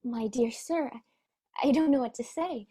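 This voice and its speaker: Woman as a high, husky, genteel charity lady. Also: moderate pace, warm tone. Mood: astonished, flustered. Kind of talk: radio play acting.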